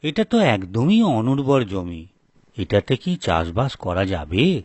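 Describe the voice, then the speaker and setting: A man's voice: on the low side, big pitch swings, slow pace, a little mellow. A man playing a humble, deferential young younger brother in a folk tale, speaking earnestly. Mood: doubtful. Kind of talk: acted dialogue for a story video.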